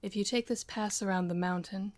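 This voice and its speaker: A woman plays a fantasy military officer with a medium-pitched voice. She delivers her briefing in the manner of an acted audio roleplay. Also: fairly even intonation, a little fast, natural voice. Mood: businesslike, persuasive.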